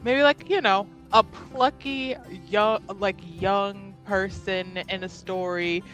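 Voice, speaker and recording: A woman's voice: mid-range voice, steady tone, slow, a bit bright, faint music behind. A woman improvising casual dialogue in a tabletop roleplaying game, informal and searching for words as she goes.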